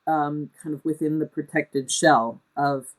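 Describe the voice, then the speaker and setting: A woman's voice: low-pitched, steady tone, unhurried, low-quality recording. A woman interviewed as a theatre artist, reflective and hesitant, working through her thoughts as she speaks. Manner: hesitant, deliberating, self-questioning.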